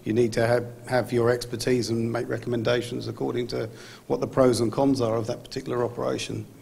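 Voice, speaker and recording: A man's voice: mid-range voice, little pitch movement, fast, gravelly, darkish tone, breathy edge. A man on a conference panel, speaking as an aviation executive into a handheld mic. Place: large conference hall stage. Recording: long hall reverb, faint noise.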